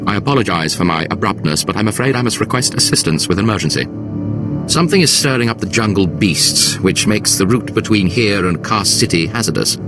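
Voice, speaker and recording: A game's military commander, low in pitch, formal and clipped. He speaks with deference, and music plays clearly behind him. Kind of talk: video game cutscene dialogue.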